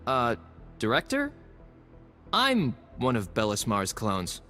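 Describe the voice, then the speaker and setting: An actor playing an earnest, unsure young clone squad member in a video game, speaking hesitantly and haltingly. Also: male voice, mid-range voice, huge pitch swings, bright, forceful tone, big-room sound, soft background music, heavily acted. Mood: earnest unease.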